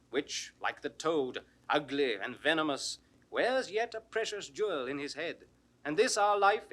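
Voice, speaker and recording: A noble, philosophical duke living in forest exile. His voice sits fairly high and rings brightly, rising and falling widely as he declaims measured classical verse in an old audio drama style. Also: exaggerated voice. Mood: reflective, contented. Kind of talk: 1960s classical Shakespearean audio drama acting.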